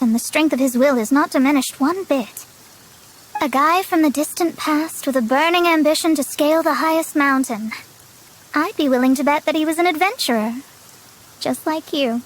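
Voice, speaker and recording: A woman's voice: sits high, close to an everyday voice, poor audio. A woman voicing a confident, analytical young astrologer in a video game, explaining things in a self-assured manner. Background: game music.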